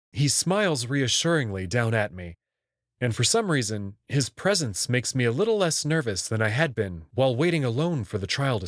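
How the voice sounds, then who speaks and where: A man reading a visual novel aloud in the first person, expressive and prone to sudden outbursts. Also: medium pitch, no room sound, studio quality.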